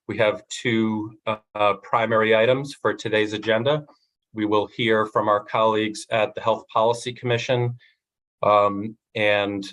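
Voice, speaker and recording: Man, has a cold, nasal, meeting briefing, filler-heavy, low and flat. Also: poor audio. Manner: flat delivery with frequent ums and uhs. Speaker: agency director presenting the agenda.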